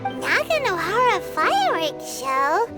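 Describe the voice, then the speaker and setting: Tiny, squeaky, childlike fairy sidekick. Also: female voice, big pitch swings, slow, over loud music, heavily acted. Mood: curious. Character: tiny childlike floating fairy companion, excitable and curious. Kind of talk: video game cartoon-like voice acting.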